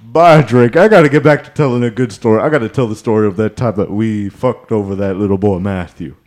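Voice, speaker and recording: A man's voice: lowish voice, fairly quick, a little mellow, lightly acted. Melvis, a man doing a drawling Elvis impersonator. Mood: rambling. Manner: drawling, calls everyone mama, rambling with many fillers. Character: goofy male Elvis impersonator, forgetful, boastful. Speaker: podcast co-host in character. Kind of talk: improvised comedy podcast bit.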